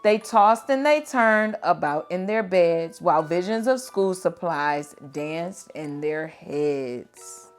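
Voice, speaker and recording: A woman reading children's picture books aloud in an expressive, dramatic and deliberate storytelling style. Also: fairly low pitch, clean tone, soft background music.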